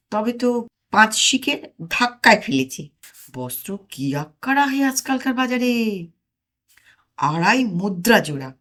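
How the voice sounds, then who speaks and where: Woman doing a thrifty philosopher pundit, slow preachy sayings, expressive swings, comic storybook. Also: medium pitch, exaggerated voice. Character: philosophizing, thrifty, hookah-puffing male Vedanta pundit.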